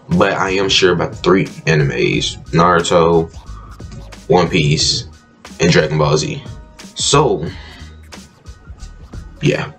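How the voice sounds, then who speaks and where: A young man hosting a reaction video from his bedroom, laid-back and chuckling, with a groggy just-woke-up morning voice. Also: deep voice. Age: young adult. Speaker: reaction channel host. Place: bedroom, indoors.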